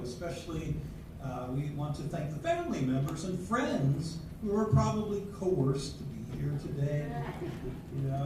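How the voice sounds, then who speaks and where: An older man welcomes an audience from the stage, genial and rambling, with many fillers. His voice sits a little high and comes from some distance. The recording is very echoey, with noise in the background of the hall. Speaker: ensemble member introducing the show. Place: senior center hall.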